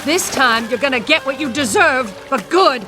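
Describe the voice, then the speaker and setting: A cold, embittered mother in a vintage drama. Her voice is fairly high and swings sharply in pitch, with curt, scolding phrasing. Music runs underneath. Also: expressive, normal speed. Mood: alarmed, angry. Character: cold, stern, embittered mother of two children. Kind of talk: classic television drama acting.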